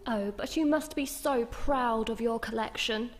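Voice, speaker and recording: A woman's voice: fairly high pitch, a little slow, a little hiss or hum, close to an everyday voice. A woman playing a charming but ruthless book collector in a live radio play, polite, ingratiating and probing. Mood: charming, flattering. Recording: live in echoey hall, long reverb.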